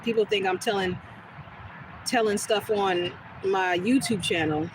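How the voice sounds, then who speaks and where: A woman with a smooth, soothing voice, chatting casually with viewers during a live stream and answering their comments as she goes. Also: medium pitch, measured pace. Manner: relaxed, rambling, talks back to chat comments. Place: outdoors on a quiet street. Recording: noisy outdoor phone recording.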